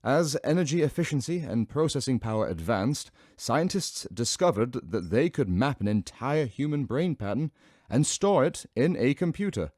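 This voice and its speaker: An actor playing a professor who narrates a formal history lecture in a measured way. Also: male voice, medium pitch, sing-song, darkish tone, no echo, clean studio sound. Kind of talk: scripted narration.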